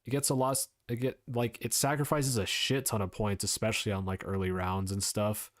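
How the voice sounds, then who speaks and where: A man's voice: fairly low pitch, level tone, brisk, no room sound, clean studio sound. A young man streaming live, chatting loosely with viewers, rambling and swearing now and then. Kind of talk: casual live-stream commentary.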